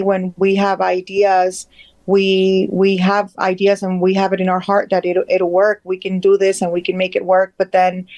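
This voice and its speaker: A woman in her thirties from Peru asks a question, speaking in a halting way and searching for her words. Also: mid-range voice, a little mellow, dry recording, dull audio. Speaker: audience member asking a question.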